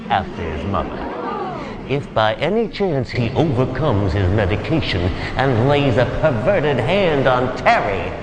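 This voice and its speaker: An actor playing a smarmy, gleefully sadistic host of a futuristic TV race show, theatrical, mock-cheerful and sardonic. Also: male voice, medium pitch, slight background noise. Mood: showy, menacing glee. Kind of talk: theatrical game show presenting.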